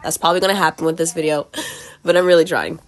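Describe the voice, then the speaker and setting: Low-voiced woman chatting over music. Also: fairly even intonation, quick pace. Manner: chatty, filler-heavy, upbeat. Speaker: art YouTuber. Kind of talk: casual chat while sketching. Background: background music.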